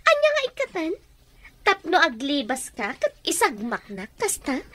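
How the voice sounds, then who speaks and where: A domineering Filipino woman with an Ilocano accent in a radio drama. Her voice sits high and leaps around in pitch. She is sharp, scornful and used to giving orders. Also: wildly swooping pitch, normal speed, slight background noise, heavily acted. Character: domineering, scheming woman holding a younger woman captive.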